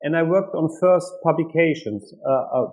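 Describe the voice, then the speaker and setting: A man's voice: fairly high pitch, a little mellow. Man, author in an interview. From Germany. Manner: measured, with many fillers. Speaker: author guest. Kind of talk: academic interview.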